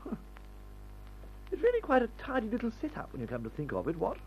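A jaunty upper-class English airman from an old radio adventure serial. He drawls and blusters with a wide, bouncing pitch, on a dull, muffled recording. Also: huge pitch swings, fast talker, soft background music, heavily acted. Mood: jaunty, complacent. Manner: drawling, blustering, peppered with old-fashioned slang.

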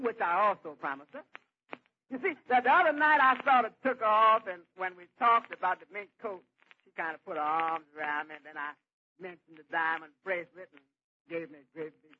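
Husky, high-pitched sardonic waiter. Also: male voice, fairly quick. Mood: confiding. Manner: dry, teasing comebacks. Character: sardonic, wisecracking male tavern waiter. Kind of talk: old-time radio comedy acting. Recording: dull old radio recording.

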